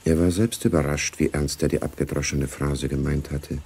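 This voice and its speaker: A man narrating a literary radio play in a measured, matter-of-fact way. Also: a bass voice, fairly even intonation, creaky, noisy background.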